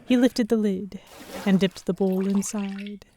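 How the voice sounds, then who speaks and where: A woman playing a restless, observant young heroine, narrating a pirate story in the first person in a measured, literary manner. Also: mid-range voice, steady tone, dark tone, a little hiss or hum, natural voice. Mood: reflective. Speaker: narrator and lead actor.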